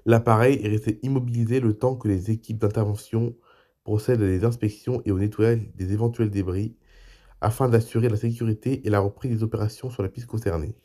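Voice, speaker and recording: A man with a cold, speaking softly as the host of an online aviation news show, reading articles and adding casual asides. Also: lowish voice, fairly even intonation, brisk. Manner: reads articles, then adds loose spoken asides.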